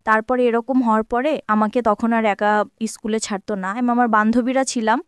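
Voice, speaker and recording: A woman's voice: fairly high pitch, steady tone, moderate pace, smooth, no room sound. A woman of twenty-three with a Bangladeshi Bengali accent, telling her personal life story as an interview guest.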